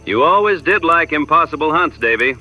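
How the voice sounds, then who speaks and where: A man's voice: on the high side, animated, fairly quick, bright, forceful tone, rough recording. A man playing a skeptical, loyal frontiersman sidekick in an audio drama, speaking in a folksy way. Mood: alert. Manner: folksy, incredulous. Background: music.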